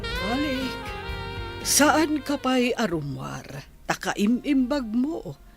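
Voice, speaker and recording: A woman's voice: a little high, very animated, slow, vocal fry, husky, faint music behind, exaggerated voice. An actress with an Ilocano accent playing a caring, worried mother in a radio drama. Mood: concerned.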